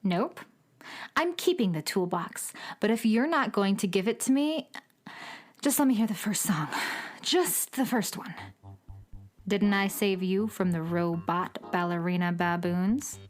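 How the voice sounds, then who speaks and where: A woman's voice: medium pitch, animated, natural voice. A woman playing a petulant, haughty troublemaker and obsessive fan in a children's space audio drama. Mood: stubborn, smug. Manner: scornful, bratty, drawn-out emphatic delivery.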